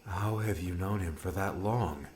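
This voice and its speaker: A man's voice: deep voice, measured pace, darkish tone, slight background noise. A man voicing a confused, questioning protégé in an adventure audiobook. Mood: puzzled, doubting. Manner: puzzled, probing questions.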